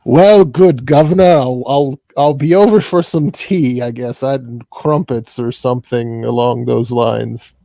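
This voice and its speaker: A man's voice: mid-range voice, steady tone, unhurried, creaky, few pauses, dark tone, no echo. A man putting on a hammy posh Brit. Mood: mocking. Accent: mock British. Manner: hammy, mock-formal. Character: stuffy posh British gentleman, male adult.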